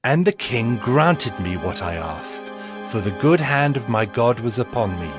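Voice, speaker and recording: Casting: male actor as devout, earnest ancient cupbearer, mid-pitched, reverent and grave, audio scripture drama with music underneath. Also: lightly acted. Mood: measured, grave. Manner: solemn, fervent, reverent. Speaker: narrating lead in dramatized scripture. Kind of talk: dramatized audio Bible reading. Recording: music behind the voice.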